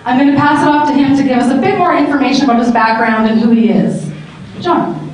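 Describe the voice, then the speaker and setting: A woman's voice: high-pitched, fast, noisy background. A woman in her thirties hosting a live panel discussion on a handheld mic, upbeat and talkative. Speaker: panel moderator. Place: large convention hall. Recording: echoey hall, camera from far off.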